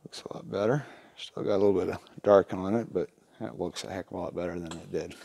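Lower-voiced man giving a hands-on tutorial. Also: vocal fry, darkish tone. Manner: plainspoken, unhurried step-by-step explaining. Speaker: instructor demonstrating lantern repair. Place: indoor wooden workshop at a workbench.

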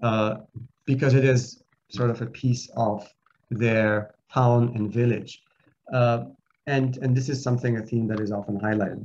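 A man's voice: mid-range voice, a little mellow. Middle-aged man, academic talk, earnest, hesitant fillers, muffled video call audio. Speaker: conference panelist. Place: home office with curtains.

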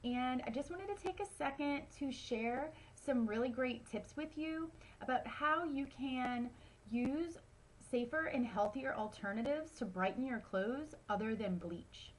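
A woman's voice: sits high, a little slow, slight background noise, low-quality recording. A woman in her thirties giving casual how-to tips to a webcam at home, chatty with many ums and likes. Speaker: home wellness vlogger. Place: small room at home, indoors. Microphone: webcam at close range.

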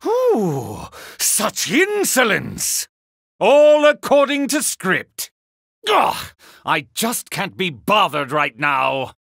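Gravelly, wildly swooping villain tactician. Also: male voice, high voice, huge pitch swings, very slow, a bit bright, no room sound, clean studio sound, full character voice. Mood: disdainful, then gleeful. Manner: haughty, mocking, theatrical drawl. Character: sneering, theatrical villainous male tactician and sorcerer. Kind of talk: video game battle voice lines.

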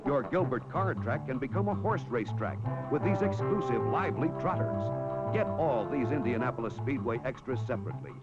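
A man narrating a 1960s TV toy commercial in an excited, punchy way, on a vintage soundtrack. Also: medium pitch, brisk. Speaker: commercial announcer. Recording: dull vintage TV film soundtrack. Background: loud music.

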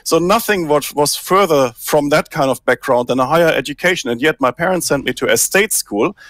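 A man's voice: fairly high pitch, lively intonation, fairly quick, fairly bright tone, dry recording. A man in his forties from Germany, speaking earnestly and emphatically as a guest on a video call from a small room. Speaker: think tank guest. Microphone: headset on a video call.

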